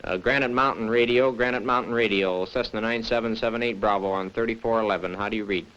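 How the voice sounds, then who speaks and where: A man making halting, procedural aviation radio calls as a small-plane pilot in 1960. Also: lowish voice, fairly even intonation, a bit bright, smooth. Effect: two-way radio. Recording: dull, noisy 1960 aircraft radio sound.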